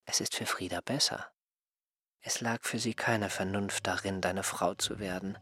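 A man's voice: sits low, fairly even intonation, moderate pace, bright, forceful tone, slightly rough, dry recording, studio quality, full character voice. An actor playing an aggrieved male assistant who is no longer young, complaining reproachfully in a radio play. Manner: reproachful, complaining, mildly mocking. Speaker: surveyor's assistant.

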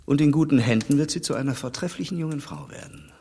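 An actor playing an outspoken gentleman in a radio play, talking frankly and with reproach. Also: on the high side, quick pace, husky, in a large hall. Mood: warm teasing. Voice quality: frank, lively, reproving manner. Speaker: Mister Knightley.

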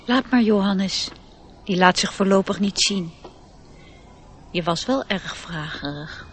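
An actress playing a wise, protective elf, earnest and gently admonishing, in a radio play. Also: female voice, medium pitch, expressive, takes it slowly, breathy edge. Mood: soothing, admonishing. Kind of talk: radio drama acting. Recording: noisy radio drama recording.

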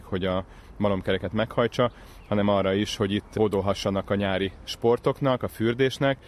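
A man's voice: fairly low pitch, a little hiss or hum. A man interviewed outdoors on location, explaining things as a knowledgeable local guide.

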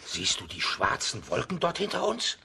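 An excitable, rough-hewn companion in a children's radio drama. The voice is sharp and intense, gravelly at the edges, and leaps dramatically up and down. Some light noise sits behind it. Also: male voice, mid-range voice, normal speed, heavily acted. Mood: uneasy. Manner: dramatic, exclamatory. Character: excitable wooden-legged companion, loyal, rough, emotional man. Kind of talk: German children's radio play acting.

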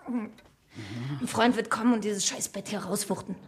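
A woman's voice: medium pitch, very animated, measured pace, a little hiss or hum. An actress playing a bedridden woman with back pain, snappish and pained. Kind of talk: radio play acting.

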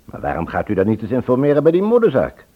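An actor playing an insistent, genial husband in a radio play. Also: male voice, medium pitch, huge pitch swings, fast. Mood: pressing, skeptical. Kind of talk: Dutch radio drama acting. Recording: muffled old radio play recording.

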